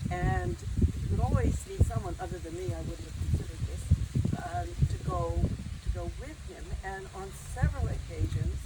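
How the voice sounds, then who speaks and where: Older woman speaking over loud outdoor noise. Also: medium pitch, creaky. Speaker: speaker at a memorial service, friend of the deceased. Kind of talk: informal memorial tribute. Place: outdoors at a cemetery memorial gathering. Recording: very noisy outdoor recording.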